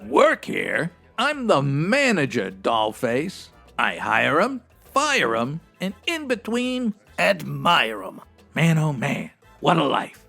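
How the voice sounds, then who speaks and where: A breezy hustler who manages a strip club in a radio detective comedy. His voice is high for a man and gravelly, swooping about as he rolls out hipster slang and florid big words. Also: wildly swooping pitch, takes it slowly, sharp tone, faint music behind, full character voice. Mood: boastful, jovial. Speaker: actor in a comedy audio drama. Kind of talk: comedic old-time radio detective acting.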